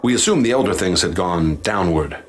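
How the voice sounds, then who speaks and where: A man narrating and acting as expedition leader in a radio drama, grave and measured. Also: low-pitched, lively intonation, slight background noise.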